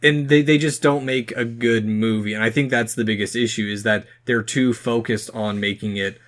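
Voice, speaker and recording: Male podcast co-host, medium pitch, casual and opinionated, talks through his points in an explanatory way. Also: steady tone, normal speed, fairly bright tone.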